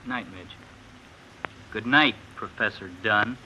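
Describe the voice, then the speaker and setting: An actor playing a hurt, jealous young boyfriend in a 1950s radio drama, halting and sullen. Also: male voice, fairly low pitch, pushed and bright, exaggerated voice. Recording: noisy vintage radio recording.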